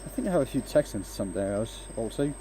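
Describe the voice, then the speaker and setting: Man from Denmark, livestream host, casual workshop chat, mellow tone, faint background noise. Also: on the low side, very animated, fast talker. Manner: chatty, hesitant, talks to viewers while working. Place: home workshop with a lathe.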